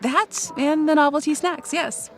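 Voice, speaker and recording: A woman's voice: sits high, a little slow, soft background music. An actress playing a flippant young woman on a date, teasing and casual, in natural romcom audio drama dialogue. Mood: wry, teasing.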